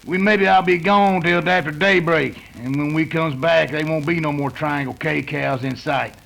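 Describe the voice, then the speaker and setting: A man's voice: a little high, moderate pace, gravelly, a bit bright, poor audio. A man playing a bossy cattle-rustling gang leader with folksy, ungrammatical cowboy talk in an old-time radio western. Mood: threatening.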